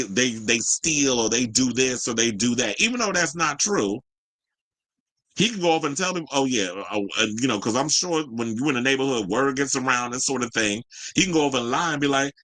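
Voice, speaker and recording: A man's voice: mid-range voice, fairly bright tone, no echo, low-quality recording. A man hosting casual gossip commentary, chatty and candid, with a sassy, colloquial way of talking to his audience. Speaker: host of a commentary show.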